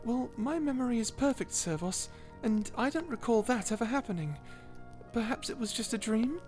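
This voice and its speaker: A woman voicing a kind, dutiful guardian angel in a character audio roleplay, gentle, formal and measured. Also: on the high side, expressive, faint music behind, lightly acted. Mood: innocent, puzzled.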